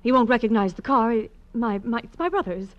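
A woman's voice: fairly high pitch, animated, fairly quick, a little hiss or hum, lightly acted. Woman acting a sentimental detective's client, coaxing, slightly hesitant, vintage radio drama, dull muffled recording. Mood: secretive, businesslike.

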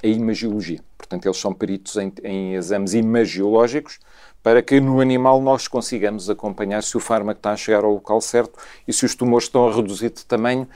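Homem de voz grave a explicar ciência. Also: male voice, fairly low pitch, fairly even intonation, darkish tone. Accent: European Portuguese. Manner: measured, explanatory, with repetitions. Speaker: scientist guest. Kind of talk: explaining research in conversation.

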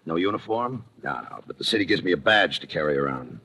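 An actor playing a weary, sardonic homicide lieutenant in clipped, wry 1950s radio crime drama style. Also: male voice, very deep voice, fast talker, vocal fry, a little hiss or hum, heavily acted. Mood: dry, terse. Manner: clipped, deadpan, wry delivery. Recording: vintage radio recording with prominent music.

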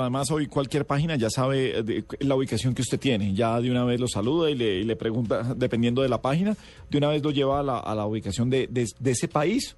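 Man hosting a radio interview, halting. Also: mid-range voice, darkish tone. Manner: halting, many fillers and restarts. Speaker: radio host.

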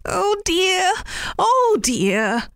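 A woman playing a high, kindly storybook rabbit. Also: big pitch swings, slow, no echo, studio quality, heavily acted. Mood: distressed. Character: generous gentle male rabbit. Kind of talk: storybook reading.

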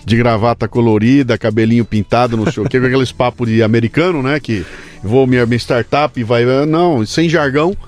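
A man's voice: medium pitch, brisk, darkish tone. A Brazilian man hosting a podcast interview, talking informally and emphatically with lots of slang. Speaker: podcast host. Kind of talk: casual interview chat.

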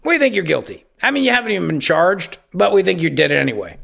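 Gravelly, sarcastic talk radio man. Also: sits high, very animated, fast, fairly bright tone. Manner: sarcastic, acts out hypothetical quotes. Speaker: radio talk show host. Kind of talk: opinionated political talk radio monologue.

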